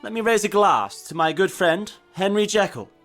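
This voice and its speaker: Guy doing hearty victorian gent, toast-making type, high animated voice, faint violin. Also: moderate pace, faint music behind. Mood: hearty, ceremonious. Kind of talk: theatrical period drama acting.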